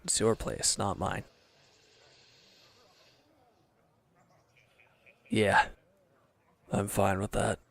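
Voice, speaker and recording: A man playing a wounded, proud villain in an intimate audio drama, sullen and guarded, curt and halting in a breathy murmur. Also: on the low side, exaggerated voice. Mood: awkward, guarded.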